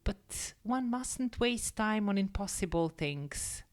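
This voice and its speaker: A reader as a gentle, grave storybook woman. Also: mid-range voice, expressive, slow. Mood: careful. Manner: careful, gentle, restrained.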